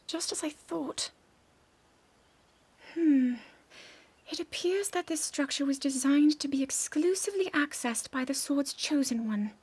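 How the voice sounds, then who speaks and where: Young princess from a game, high pitched, proud n frustrated, very proper and precise. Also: female voice, unhurried, pauses often, a little mellow. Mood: musing. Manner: formal, precise, earnest. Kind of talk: video game cutscene acting.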